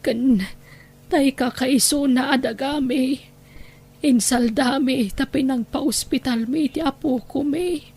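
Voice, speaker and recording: A woman's voice: high voice, steady tone, slow, darkish tone, breathy edge, exaggerated voice. A woman playing a humble, pleading older wife and grandmother with an Ilocano accent in an audio drama. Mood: sorrowful.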